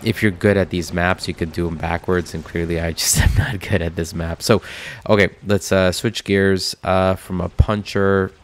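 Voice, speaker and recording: A man's voice: low-pitched, flat delivery, normal speed. A man with a stuffy, nasal voice from a cold, giving rambling casual commentary over a mobile game. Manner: rambling, filler-heavy. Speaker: mobile game video host.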